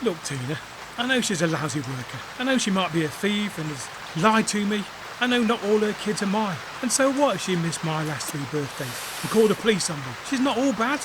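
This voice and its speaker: A man acts an earnest, easygoing bloke in a comedy audio drama. His voice sits high and swings about in pitch, naive and a touch defensive, with rain-like noise behind it. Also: big pitch swings, a little fast. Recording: clearly noisy, rain-like background.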